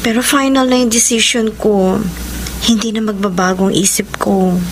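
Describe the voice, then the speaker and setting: An actress playing a gentle, sincere young Filipina sweetheart in a radio drama, with a sentimental delivery. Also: female voice, medium pitch, fairly even intonation, moderate pace, background noise, close to an everyday voice. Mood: regretful, gentle.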